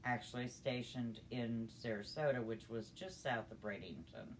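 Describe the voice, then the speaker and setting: An older woman telling a personal story in a conversational, halting, unhurried way. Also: very deep voice, slight background noise. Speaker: storyteller grandmother.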